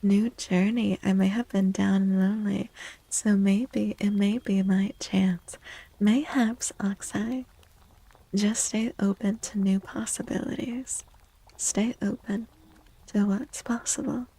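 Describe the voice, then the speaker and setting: Woman, soft-spoken ASMR streamer, slow pace, creaky fry, breathy near-whisper, playful, gentle. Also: medium pitch, steady tone, poor audio. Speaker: live streamer reading tarot cards for chat. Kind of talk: soft-spoken ASMR chat, greeting viewers.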